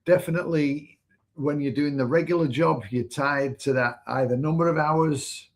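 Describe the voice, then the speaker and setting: A man co-hosting a casual livestream chat in a relaxed, conversational way, following up on his partner's points. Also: a little high, normal speed. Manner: laid-back, conversational, adds to her points. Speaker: co-host.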